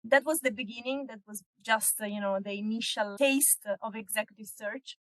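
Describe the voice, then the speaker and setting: A woman, a podcast guest explaining her work in a measured way. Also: fairly high pitch, fairly bright tone, dry recording. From northern Italy. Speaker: guest, executive search consultant. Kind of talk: casual interview chat.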